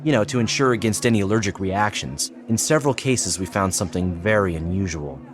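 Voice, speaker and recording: An actor playing an earnest, persuasive psychiatrist in an audio drama, explaining in a measured, solemn way. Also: male voice, low voice, natural voice. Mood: measured, explanatory. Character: earnest, persuasive male psychiatrist pressing his case. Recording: clearly noisy background ambience.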